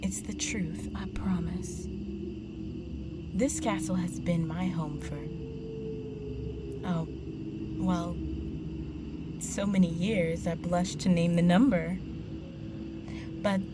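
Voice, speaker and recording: Vampire countess for audio roleplay, played by a woman: female, lowish voice, very slow, seductive, possessive, breathy, politely condescending. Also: fairly even intonation. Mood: silky, reassuring. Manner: teasing, condescending, elegant, slow and deliberate. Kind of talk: roleplay audio drama monologue. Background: music.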